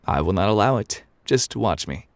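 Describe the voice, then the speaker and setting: A man reading as a clever storybook mayor, firm and self-assured. The voice is low and creaky with lively movement in it, calm and declarative. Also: normal speed, no echo. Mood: firm, scornful.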